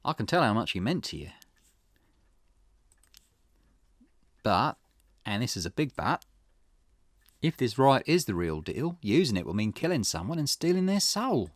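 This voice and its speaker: A man's voice: mid-range voice, big pitch swings, normal speed, no echo. A man voicing a skeptical, plain-spoken private detective with a dry, questioning manner in a visual novel. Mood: sympathetic, firm. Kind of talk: character voice reading visual novel dialogue.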